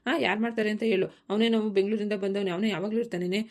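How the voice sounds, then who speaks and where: A woman voicing a quick-tempered village farmer husband who bickers and coaxes in a family cartoon, with a rural southern Karnataka accent. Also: mid-range voice, steady tone, darkish tone, natural voice. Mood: irritated, belittling. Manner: bickering, colloquial, nagging questions.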